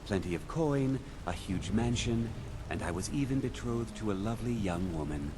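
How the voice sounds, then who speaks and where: An actor playing a suave, thrill-seeking male thief in a video game, talking in a smooth, confiding way. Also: lowish voice, expressive, moderate pace, close to an everyday voice. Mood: confiding, wistful. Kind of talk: scripted game dialogue. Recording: clearly noisy background under game dialogue.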